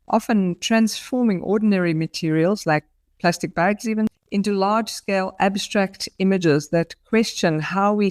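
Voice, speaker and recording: A woman's voice: lowish voice, darkish tone, no room sound, studio quality. Woman hosting an interview podcast. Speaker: podcast host. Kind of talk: scripted intro then interview questions.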